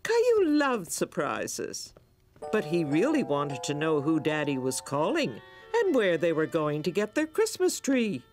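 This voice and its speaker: A woman playing the gentle storybook narrator of a preschool cartoon, reading scripted narration for young children. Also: deep voice, huge pitch swings, unhurried, full character voice. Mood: gentle storytelling. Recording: music under the voice.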